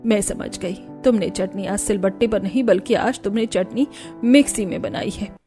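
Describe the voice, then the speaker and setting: A woman's voice: medium pitch, moderate pace, voice pushed down, natural voice. An older Indian mother-in-law from a cartoon family drama, acted by a woman. She is fussy about her food and speaks over music. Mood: firm.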